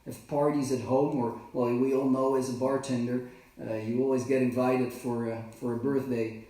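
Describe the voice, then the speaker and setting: Halting bartender in an echoey kitchen. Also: male voice, medium pitch, little pitch movement, dark tone, recorded from a distance. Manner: halting, many fillers and restarts. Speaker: bartender and cocktail trainer. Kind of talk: casual live demonstration. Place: home kitchen. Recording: very echoey, from off the mic.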